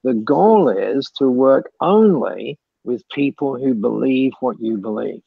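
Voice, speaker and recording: A man's voice: medium pitch, animated, slow, vocal fry, husky, dry recording. A man answering questions as a guest speaker in chatty, repeating speech that breaks into laughs, on a dull lo-fi call recording. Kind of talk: mentoring Q&A on a call.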